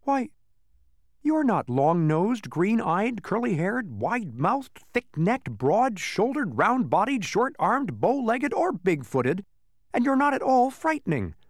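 A man's voice: high voice, big pitch swings, slow, no echo, clean studio sound, pitched above the performer's own voice, heavily acted. A man voicing an earnest, easily indignant young boy in a storybook, pointed and complaining. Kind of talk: storybook character voice.

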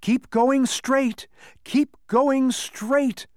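A man voicing a small, furry, insincere demon from a storybook, with emphatic, drawn-out proclaiming. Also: very high voice, fairly even intonation, no room sound, clean studio sound, voice pushed up, exaggerated voice. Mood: insistent, urging. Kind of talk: storybook character voice.

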